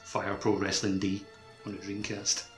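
Low, bright-edged man in an echoey room. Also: monotone, unhurried, soft background music. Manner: loose, rambling phrasing leaning heavily on "like". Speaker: podcast co-host. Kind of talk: casual chat, reminiscing about video games.

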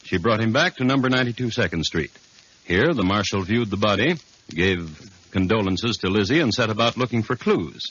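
A man playing a wry true-crime chronicler, narrating a 1950s radio drama with dry, deliberate humour and a husky voice. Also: lowish voice, lively intonation, a bit bright. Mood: dryly amused. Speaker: narrator and host. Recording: dull lo-fi 1950s radio recording.

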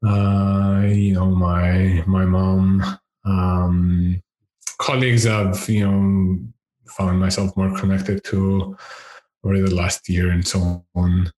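A man with a deep voice, a guest in a casual interview, talking on a headset mic, hesitant with many fillers and frequent laughs. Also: level tone, slow pace, warm tone, echoey room, poor audio. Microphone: headset microphone.

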